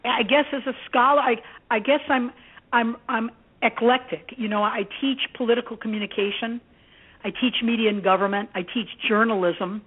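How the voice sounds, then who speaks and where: A woman's voice: a little high, steady tone, moderate pace, husky. A middle-aged American woman, a professor speaking as an interview guest in an earnest, reflective, conversational way. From United States (Indiana), born in Greece. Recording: tinny phone-like line.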